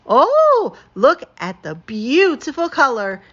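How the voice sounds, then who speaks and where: Woman playing eager storybook skunk, gushing, drawn-out words, swooping pitch, slightly muffled recording. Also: wildly swooping pitch, fairly bright tone, exaggerated voice. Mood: excited. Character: excitable, apologetic skunk assistant, childlike and eager.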